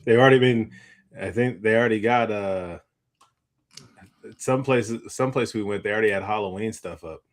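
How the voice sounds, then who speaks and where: A man's voice: on the low side, moderate pace. A man co-hosting a casual live podcast, talking in a loose, rambling way and correcting himself as he explains. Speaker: podcast co-host.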